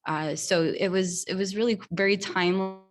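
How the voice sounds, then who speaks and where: A young woman speaking earnestly on a panel, with many pauses and restarts. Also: medium pitch, steady tone. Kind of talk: casual panel talk.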